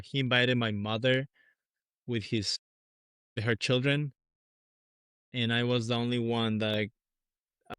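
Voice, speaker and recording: A man from Peru whose first language is Spanish, a guest drummer speaking in an easygoing, soft-spoken way and searching now and then for English words. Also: medium pitch, smooth, no room sound. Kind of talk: casual podcast interview.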